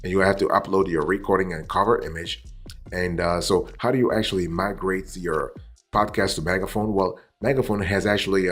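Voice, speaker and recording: Deep husky-voiced man reviewing software. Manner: deliberate, repeats phrases, checks in with "okay". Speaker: podcast reviewer and host. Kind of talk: explanatory software review, semi-scripted.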